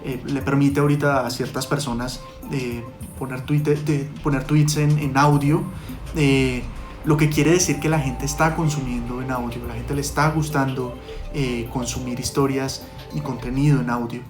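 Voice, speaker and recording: A man answering interview questions conversationally as a podcast producer, with hesitant, halting phrasing. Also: medium pitch, steady tone, music in the background.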